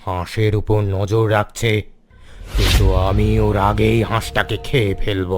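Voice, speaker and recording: A man voicing a lazy, gruff tiger king hungry for prey in a cartoon. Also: lowish voice, little pitch movement, moderate pace, faint music behind, natural voice. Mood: greedy. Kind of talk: cartoon dialogue.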